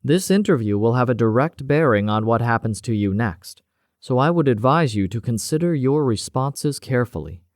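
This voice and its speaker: A man voicing a cold, authoritative female security officer, speaking slowly and calmly with command. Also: mid-range voice, no echo, clean studio sound, voice pushed up, lightly acted. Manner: slow, calm and commanding.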